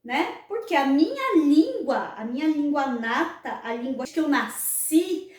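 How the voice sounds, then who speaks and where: A woman's voice: high voice, big pitch swings, measured pace, a little mellow. A Brazilian woman hosting her own channel, explaining earnestly and often checking in with tag questions. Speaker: channel host. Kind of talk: explanatory vlog talk. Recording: roomy echo, mic at a distance.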